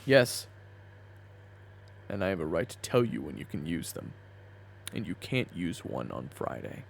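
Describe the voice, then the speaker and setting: A man playing a weary boss with a buried temper in a dramatic audio roleplay, gravelly and brooding. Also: deep voice, very animated, a little slow, echoey room, exaggerated voice. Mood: firm. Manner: brooding when narrating, exasperated in dialogue. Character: weary reformed hot-tempered man, a soft-pushover boss. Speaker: narrator and lead character.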